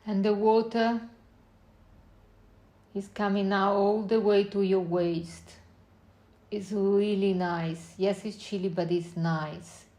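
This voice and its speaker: Slow-paced woman guiding a meditation. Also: medium pitch, little pitch movement. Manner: unhurried short phrases with long pauses, gentle instructing. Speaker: meditation guide. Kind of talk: guided meditation, slow spoken visualization.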